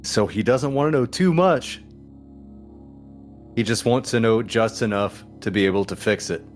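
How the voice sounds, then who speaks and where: Very deep-voiced man playing a tabletop game. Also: moderate pace. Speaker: tabletop roleplaying player. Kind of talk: casual improvised description of his character. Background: soft music bed.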